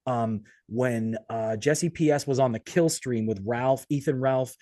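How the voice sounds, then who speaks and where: A man's voice: mid-range voice, unhurried, mellow, no echo, clean studio sound. A man hosting a casual podcast interview, talking in a rambling, sweary way. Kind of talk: casual chat.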